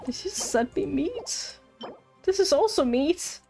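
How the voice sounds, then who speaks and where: A woman's voice: high voice, animated, slow pace, mellow, soft background music. A woman livestreaming a game, chatting casually and tripping over words as she reads aloud. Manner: stumbles over words while reading aloud. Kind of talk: casual livestream chat mixed with reading game text aloud.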